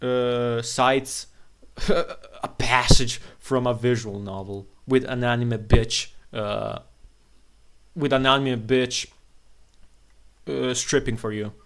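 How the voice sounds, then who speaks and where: A man's voice: mid-range voice, fairly even intonation, slow, a bit bright, clear, resonant tone. Blunt, scornful man ranting off the cuff. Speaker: video commentator. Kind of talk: unscripted opinionated rant.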